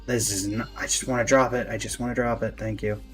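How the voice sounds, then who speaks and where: A man's voice: on the low side, fairly even intonation, fast, fairly bright tone, soft background music. A man giving casual gameplay commentary, talking to himself as he plays. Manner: talks to himself while playing. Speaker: game streamer.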